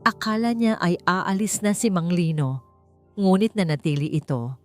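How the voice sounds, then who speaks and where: A woman with a Filipino accent narrating a dramatic story in a suspenseful, deliberate way with pauses. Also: on the low side, expressive. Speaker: audiobook narrator. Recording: music bed under narration. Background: background music.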